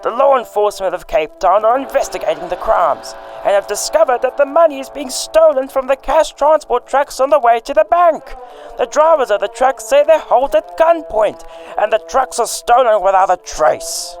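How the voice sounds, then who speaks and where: A man's voice: very high-pitched, lively intonation, normal speed, soft background music. A man playing the dramatic narrator of a detective radio serial, reading a script.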